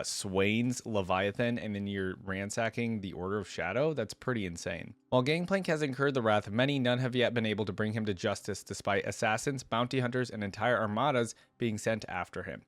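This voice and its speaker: Low-voiced man in a dry recording. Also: no echo. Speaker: reaction video host. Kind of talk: casual reaction commentary mixed with reading lore text aloud.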